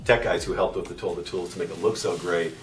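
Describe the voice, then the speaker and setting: A man's voice: low voice, fairly even intonation, fast. A man chatting casually and jokingly as a game developer guest on a livestream. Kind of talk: casual chat. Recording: distant mic, faint background noise.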